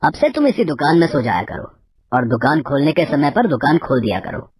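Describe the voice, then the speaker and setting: A woman voicing a kindly but firm male barber with a husky voice, in a storybook tale. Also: low-pitched, very animated, brisk, darkish tone, no echo, pitched below the performer's own voice, exaggerated voice.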